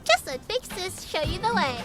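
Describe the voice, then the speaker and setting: A woman's voice: very high voice, sing-song, normal speed. A woman playing a flustered, cheeky teenage idol girl in an anime fan dub, with a girlish, theatrical delivery. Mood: cheeky, teasing. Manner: exclamatory, theatrical. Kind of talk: anime fandub acting. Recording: music behind the voice.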